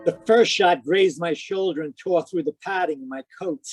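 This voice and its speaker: A man's voice: a little high, moderate pace, over loud music, close to an everyday voice. A man playing a sardonic, wisecracking private detective, narrating an old-time radio mystery in a wry scripted style.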